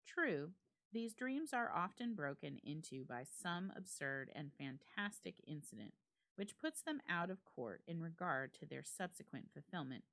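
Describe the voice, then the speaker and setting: A woman reading a classic ghost story aloud as a dramatic narration, in a measured, formal literary delivery. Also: low voice, lively intonation, fairly bright tone. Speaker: library staff member reading a story aloud.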